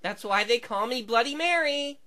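Smug, breezy lady ghost played by a man for comedy improv; shrill, sharp-edged and high, matter-of-fact delivery. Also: expressive, normal speed, full character voice. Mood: breezy reassurance. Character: female ghost Bloody Mary, casual, cheeky, self-satisfied spirit. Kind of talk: improvised Halloween comedy character.

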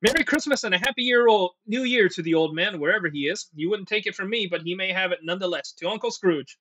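A man voicing a jolly, good-humoured young gentleman in a storybook reading, hearty and emphatic. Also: sits high, fast talker, no echo, muffled recording. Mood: merry toasting.

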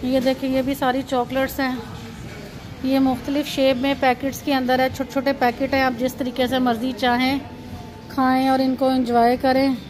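A woman's voice: high voice, monotone, normal speed, music in the background, rough recording. A woman from Pakistan, narrating a casual walking tour as a vlogger and pointing things out as she goes, recorded on a handheld phone. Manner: pointing things out, repeating "look at this". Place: chocolate shop and busy shopping street.

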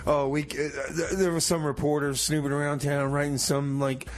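A man's voice: a little high, little pitch movement, normal speed, a crowd in the background, close to an everyday voice. A man playing a laid-back radio DJ in casual comedic banter, loose and agreeable. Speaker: radio show host and DJ.

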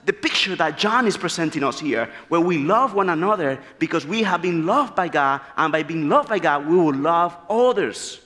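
A man delivers an earnest, animated sermon from the pulpit. His voice sits slightly high for a man. The sound carries a long reverb from the large church hall. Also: moderate pace, hardly any pauses. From Dominican Republic. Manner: animated, gesturing freely, earnest storytelling. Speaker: preacher. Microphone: pulpit mic.

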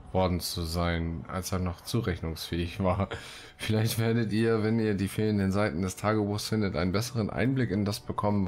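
A man hosting a let's play, mixing casual commentary with read-out quest texts. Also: deep voice.

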